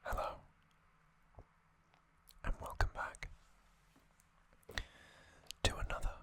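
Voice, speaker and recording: An ASMR host giving a relaxed recap in a soft, unvoiced whisper, with casual fillers and small asides. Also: slow pace.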